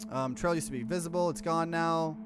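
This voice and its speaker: A man's voice: on the high side, a little fast, music in the background. A man doing a let's play, reading visual novel narration aloud and dropping in casual, offhand commentary. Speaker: streamer, let's player.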